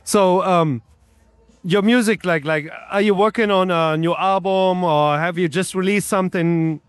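Male reporter, high register, informal interview questions, very little room sound. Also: steady tone, a little slow, clean tone, no echo. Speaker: radio interviewer. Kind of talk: casual on-location interview.

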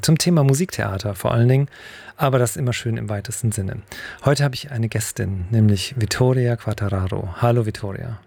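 A man hosting a radio talk show about music theatre in a relaxed, chatty way with a few fillers. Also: medium pitch, vocal fry. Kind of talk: casual radio interview. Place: radio studio.

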